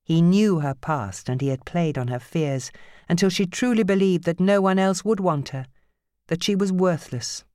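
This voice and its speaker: A woman narrating fiction from a script as an audiobook reader, with a measured, grave and dramatic storytelling manner. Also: lowish voice, warm tone, dry recording, clean studio sound.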